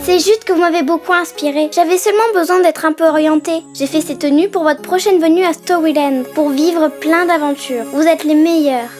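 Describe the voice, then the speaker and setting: A scatterbrained little cartoon girl played by a woman with a very high, childlike voice. She exclaims dramatically and excitably. Clear children's music plays behind her. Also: a little fast, hardly any pauses, lightly acted. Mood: delighted. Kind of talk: French children's cartoon dubbing.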